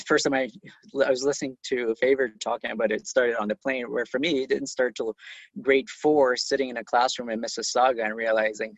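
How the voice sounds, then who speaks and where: Man with a softened Trinidadian accent. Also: medium pitch, creaky, no echo, rough recording. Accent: Trinidadian, softened toward Canadian English. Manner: hesitant, many ums and uhs. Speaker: guest. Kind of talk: conversational interview answers.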